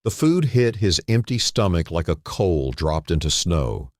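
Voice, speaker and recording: A man with an extremely deep voice reads a dramatic story. He takes it slowly and solemnly, parcelling it out in short phrases with pauses between them. The sound is dry, with no room around it. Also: very animated, darkish tone, no echo. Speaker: narrator. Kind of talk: dramatic scripted story narration.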